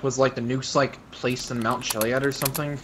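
A man chatting casually in improvised game roleplay, halting as he thinks aloud and swearing at times. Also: medium pitch, steady tone. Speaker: roleplay player. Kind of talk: casual improvised in-character chat. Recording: noisy game voice chat, keyboard typing.

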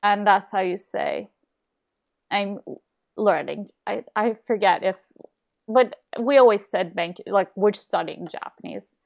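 A woman's voice: mid-range voice, unhurried, creaky. Chatty young woman streamer from Turkey. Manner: rambling, many fillers and restarts. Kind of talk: casual chat while gaming. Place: small home room, indoors.

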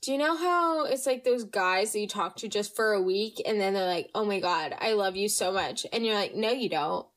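A woman's voice: mid-range voice, moderate pace, hardly any pauses. A young woman hosting a podcast, chatting casually and reading listener stories, her voice slightly nasal from allergies. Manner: chatty, animated, reads listener stories aloud. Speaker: podcast host. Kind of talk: casual storytime chat.

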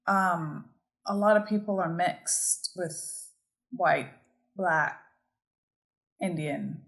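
A woman's voice: fairly low pitch, very slow, vocal fry, fairly bright tone. A woman from Barbados, a guest on a podcast, talking candidly and explaining her background step by step. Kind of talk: conversational interview.

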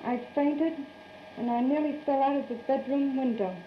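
A young woman with a high voice answers interview questions stiffly, as if rehearsed. The old 1930s newsreel sound is muffled, with clear background noise. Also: normal speed. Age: young adult. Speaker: stage performer being interviewed.

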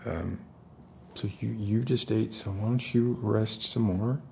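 A man acts out an unstable survivor of the apocalypse, coddling his captive in an ASMR roleplay. The voice is deep-set and mellow with a creaky edge. He talks softly and haltingly, wandering off mid-thought as he coaxes. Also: slight background noise. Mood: weary, reassuring. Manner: halting, rambling, soft-spoken coaxing.

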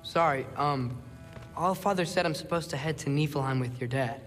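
A man's voice: fairly high pitch, normal speed, a bit bright, lightly acted. A young-sounding male actor playing an earnest, awkward teenage boy in a video game, hesitant and apologetic. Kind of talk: video game cutscene acting. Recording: background music under dialogue.

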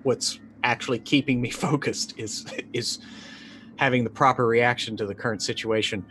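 Wry middle-aged man with medium pitch. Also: steady tone, normal speed, pushed and bright. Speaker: tabletop roleplaying player. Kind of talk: casual, improvised game chat. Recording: faint background noise and ambience.

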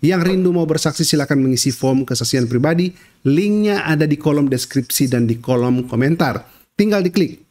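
A man's voice: a little high, expressive, normal speed, a little mellow. Middle-aged man hosting. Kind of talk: presenter announcements.

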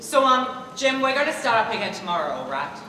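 A teenage boy acting a firm male teacher who directs a high school musical. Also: very animated, fairly quick. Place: large school theatre stage, far camera. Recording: echoey auditorium from far off, noisy.

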